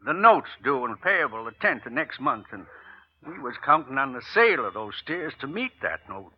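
An actor playing a folksy old uncle in a wheelchair, discouraged, in a 1940s radio drama. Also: male voice, medium pitch, big pitch swings, moderate pace, slightly rough. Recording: dull lo-fi old radio recording.

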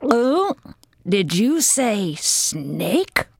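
A woman's voice: medium pitch, very animated, very slow, creaky, dry recording, clean studio sound, heavily acted. A woman voicing a stern, protective mother frog who scolds emphatically. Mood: scolding.

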